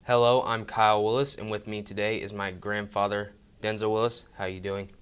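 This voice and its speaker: A teenage boy with a low-ish, smooth, clear voice asks his grandfather friendly questions. His questions sound prepared in advance. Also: little pitch movement, brisk, fairly bright tone. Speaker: student interviewer. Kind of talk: student interview.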